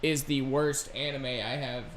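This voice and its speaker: A man hosting a solo podcast, chatting casually and unscripted in a rambling, halting way. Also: medium pitch, fairly even intonation, fairly bright tone, slight background noise. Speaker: podcast host and gaming video creator.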